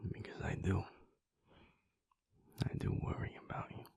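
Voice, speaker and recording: A man voicing a caring werewolf boyfriend in an intimate audio roleplay, speaking in a soft, hushed, confiding way. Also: a little slow, in a whisper, close to an everyday voice. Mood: tender. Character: caring werewolf boyfriend, young adult male.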